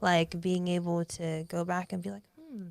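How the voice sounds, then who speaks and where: A young woman in her twenties speaking as a podcast guest, with a lowish, gravelly voice. She muses aloud in a loose, animated way, full of "like" and "you know". The sound is dry and close. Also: moderate pace, no echo. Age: 20s. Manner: reflective, animated, many fillers. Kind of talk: casual interview chat.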